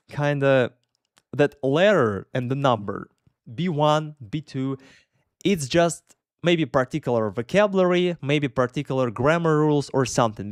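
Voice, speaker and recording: Young man, Russian accent, chatty English teacher, smooth clear voice, dry close mic. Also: on the high side, dry recording. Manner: chatty, self-correcting, laughs between points. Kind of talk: casual live Q&A stream.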